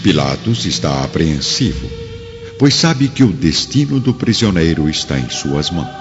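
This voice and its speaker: A Brazilian man narrates a radio drama with solemn, dramatic delivery. His voice is very low, slightly muffled by a dull recording, with music clearly playing underneath. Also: very animated, moderate pace, in a large hall.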